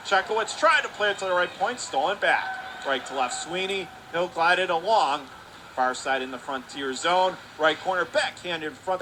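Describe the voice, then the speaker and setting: A man calling live radio play-by-play of a hockey game, talking rapidly and rising to excited shouts on close plays. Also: a little high, background noise.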